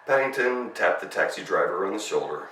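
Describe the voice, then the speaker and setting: A man's voice: low voice, animated, brisk, sharp tone. A man narrating a children's story as a storyteller, reading expressively. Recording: roomy, distant mic, faint noise.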